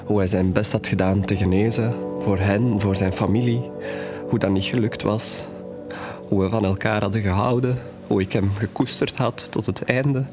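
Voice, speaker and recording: A man's voice: fairly low pitch, steady tone, normal speed, over music, lightly acted. An actor playing a grieving, candid young man telling of his troubled past in an audio drama. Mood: grieving, earnest. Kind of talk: audio drama acting, hesitant storytelling.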